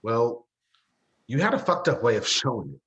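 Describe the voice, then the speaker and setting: Man playing a bitter, sarcastic young man, animated pitch. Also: moderate pace, dull audio. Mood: scornful. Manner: sarcastic, confrontational. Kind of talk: dramatic dialogue acting.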